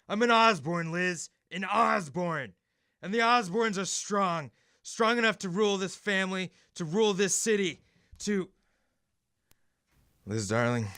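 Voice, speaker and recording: An actor playing a menacing, theatrical rich heir who swings between sweetness and mockery in an audio drama, recorded with amateur noise. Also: male voice, mid-range voice, fairly bright tone, smooth, dry recording, clean studio sound. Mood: indignant, intense. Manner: mocking, grandiose, theatrical.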